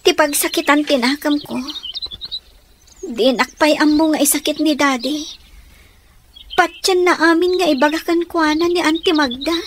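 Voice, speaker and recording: Actress as modest young daughter, radio drama, high voice, earnest, sweet, slightly noisy track. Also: female voice, steady tone, normal speed, long pauses, close to an everyday voice. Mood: earnest, wistful. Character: modest, dutiful young daughter of a ranch owner. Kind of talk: Ilocano radio drama acting.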